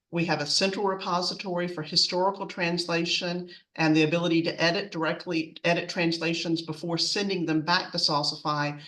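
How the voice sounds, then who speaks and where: A woman's voice: on the low side, fairly bright tone, recorded from a distance, poor audio. A woman presenting in a semi-scripted, businesslike way as a customer speaker on a webinar.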